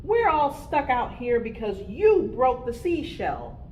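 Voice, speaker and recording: Woman as an insistent, lively young bear cub. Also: on the high side, takes it slowly, slight background noise, pitched above the performer's own voice, full character voice, poor audio. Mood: accusing. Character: young bear cub, confident, scheming leader with ideas. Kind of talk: picture-book storytime character voice.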